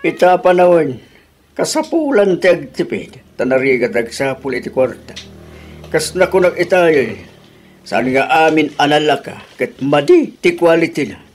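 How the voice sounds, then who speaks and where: A man's voice: sing-song, moderate pace, a little hiss or hum. A man with an Ilocano accent voicing a thrifty old father who lectures his daughter in a radio drama. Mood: reminding. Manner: lecturing, swooping pitch.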